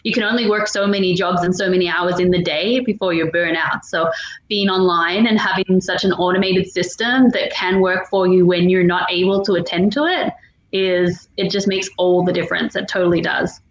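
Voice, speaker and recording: A woman's voice: on the low side, fairly even intonation, moderate pace, roomy sound, muffled recording. A woman from Victoria, hosting a casual online meetup in an upbeat, encouraging way over a video call. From Victoria, Australia. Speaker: host.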